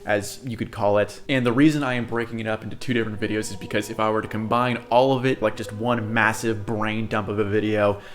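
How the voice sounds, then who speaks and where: A man's voice: on the low side, steady tone, fast talker, few pauses. A young American man introducing his channel in a chatty, earnest way, with many fillers and asides. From United States. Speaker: channel host. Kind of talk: casual direct-to-camera introduction.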